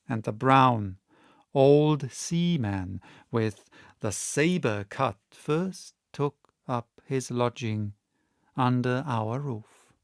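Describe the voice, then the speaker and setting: A man hosting and reading audiobooks, speaking deliberately in short, separated phrases. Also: medium pitch, creaky, no echo, clean studio sound. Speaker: audiobook reader and channel host. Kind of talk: casual introduction and expressive audiobook narration.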